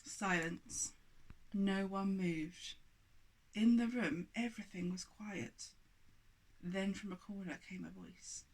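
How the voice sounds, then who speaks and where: A woman reading a rhyming children's story aloud as an expressive narrator. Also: medium pitch, slow, pauses often, rough recording. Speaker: storybook reader.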